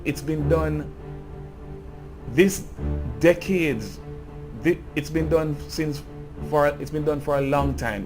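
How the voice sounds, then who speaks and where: Impassioned Jamaican man, faint music behind. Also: fairly high pitch. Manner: earnest, grows impassioned and emphatic. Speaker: cultural commentator. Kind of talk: video essay commentary.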